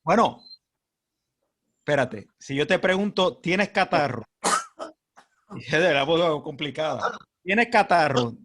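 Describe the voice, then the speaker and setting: A man's voice: a little high, animated, slow, pauses often, a bit bright. A man with a Puerto Rican accent, a guest speaker on language usage, talking in a friendly way on a video call and acting out questions and answers. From Puerto Rico. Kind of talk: casual talk on a video call.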